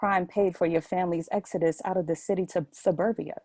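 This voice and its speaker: A woman's voice: fairly low pitch, fairly even intonation, quick pace, mellow, dry recording, lightly acted. A woman playing a bold, teasing, insistent city woman in her thirties in an audio play. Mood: accusing, probing.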